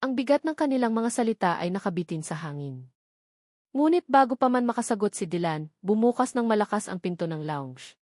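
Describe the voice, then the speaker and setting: A woman's voice: medium pitch, darkish tone, no echo, studio quality. A woman reading a scripted love-drama story as a dramatic narrator.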